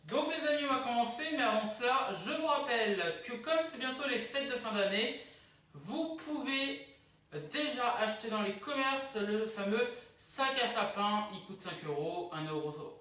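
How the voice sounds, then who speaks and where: A YouTube host casually presenting a countdown video in a chatty, halting way. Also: medium pitch, pushed and bright. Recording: echoey room, distant microphone.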